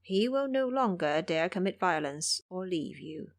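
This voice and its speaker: A woman's voice: fairly low pitch, expressive, a little slow, no room sound, studio quality. A woman voicing a kindly, mysterious old woman in an audio drama, solemn and instructive. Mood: solemn, guiding.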